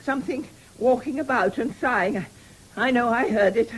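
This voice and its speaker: An actress playing a querulous, suspicious and frightened old invalid mistress in a radio drama, with an imperious, fretful manner. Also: female voice, a little high, moderate pace, slight background noise, close to an everyday voice, poor audio. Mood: fearful, clinging. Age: older. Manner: imperious, fretful, muttering and exclaiming. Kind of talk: 1970s radio drama acting.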